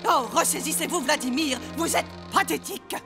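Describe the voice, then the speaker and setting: A woman's voice: sits high, normal speed, vocal fry. A woman voicing a stern, exasperated female veterinary assistant in a cartoon, scolding and exhorting. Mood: stern, scornful. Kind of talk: French-language cartoon dub acting. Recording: music behind the voice.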